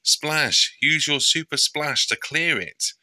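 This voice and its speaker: Man putting on a bright, bossy little girl squirrel. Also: a little high, slow pace, no room sound, pitched above the performer's own voice, exaggerated voice. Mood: urgent, encouraging. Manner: exclamatory, commanding.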